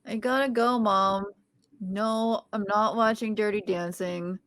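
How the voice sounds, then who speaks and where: A woman's voice: mid-range voice, steady tone, a little slow, a bit bright, dry recording. A woman reading a quirky chatty young teacher. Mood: brusque. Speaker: podcast host reading a part. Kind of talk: amateur table read.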